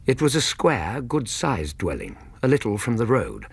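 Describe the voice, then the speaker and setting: An actor playing a genial, literate Victorian gentleman narrating a radio drama in a husky voice, measured and literary, with an English accent. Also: mid-range voice, wildly swooping pitch, exaggerated voice. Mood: engaged storytelling.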